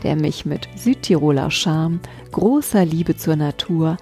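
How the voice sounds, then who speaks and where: A woman's voice: low-pitched, expressive, unhurried, warm tone, soft background music. A woman hosting a podcast interview, asking curious questions after a poetic introduction. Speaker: podcast host.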